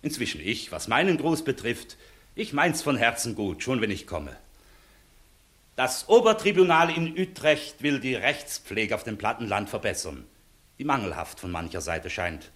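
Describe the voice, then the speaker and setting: An actor playing a courteous, authoritative visiting court inspector in a 1960s German radio play, speaking in a formal, measured manner. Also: male voice, medium pitch, very animated, a bit bright. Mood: courteous, official.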